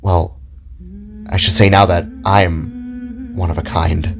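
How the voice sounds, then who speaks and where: Man playing a sorrowful, halting, low-voiced metal warrior. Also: creaky, crowd noise, exaggerated voice. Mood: solemn, sad. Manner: halting, hesitant, stammering. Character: Void, sorrowful male metal warrior being, last survivor. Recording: background music behind the voice.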